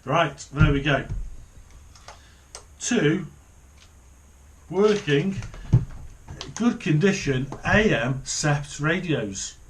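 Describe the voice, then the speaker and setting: A man's voice: a little high, animated, drawn-out words, pauses often, a little hiss or hum. A middle-aged man giving a casual, unscripted hands-on commentary, partly muttering to himself as he works. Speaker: hobbyist presenter of a radio repair video. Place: small home office, indoors.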